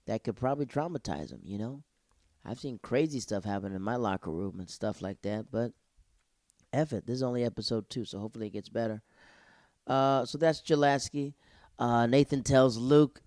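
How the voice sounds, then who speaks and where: Brief: young male solo podcast host, loose and slangy, mellow dark tone, dry close sound. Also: medium pitch, dry recording. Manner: rambling, digressive, slangy. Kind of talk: casual TV episode review.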